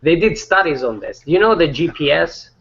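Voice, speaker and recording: A man talking casually in a group chat, rambling with many fillers and restarts; he says his voice is not deep. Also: expressive, normal speed, vocal fry, fairly bright tone, muffled recording.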